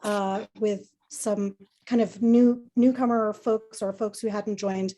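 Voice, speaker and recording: A woman's voice: medium pitch, steady tone, slow, dark tone. Chatty woman at a community meeting. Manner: chatty, rambling with restarts. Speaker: committee member. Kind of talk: casual meeting update.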